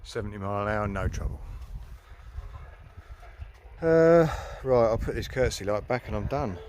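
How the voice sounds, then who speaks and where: A British man with a fairly low voice talks through hands-on work on his car. He is informal and colloquial, thinking aloud as he goes. The recording sounds like a handheld camera in and around the car, with light background noise. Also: expressive, moderate pace, a little mellow. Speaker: hobbyist vlogger. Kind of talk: casual hands-on walkthrough.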